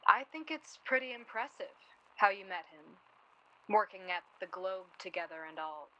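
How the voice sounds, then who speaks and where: A woman's voice: mid-range voice, animated, moderate pace, pushed and bright, rough recording. A woman playing a wry, outspoken, self-deprecating young woman from 1930s New York in a naturalistic radio drama. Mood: curious, wry. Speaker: actor in a radio play.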